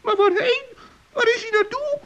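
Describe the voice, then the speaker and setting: A performer playing a small, good-hearted old male gnome in a children's radio play, earnest and fretful, with a squeaky, plaintive voice on a muffled old recording. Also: moderate pace, a bit bright. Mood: worried, plaintive.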